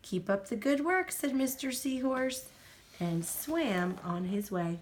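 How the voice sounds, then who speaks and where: A woman reading a children's picture book aloud to a small child at home, gently pointing out things on each page in a sing-song way. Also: on the high side, lively intonation, takes it slowly, slight background noise. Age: adult.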